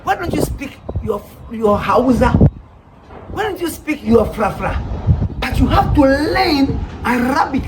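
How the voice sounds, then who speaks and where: High-voiced Ghanaian woman preaching. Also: expressive, unhurried. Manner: rhetorical, repeated questions with laughs. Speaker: street preacher. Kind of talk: preaching to listeners. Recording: noisy ambient background.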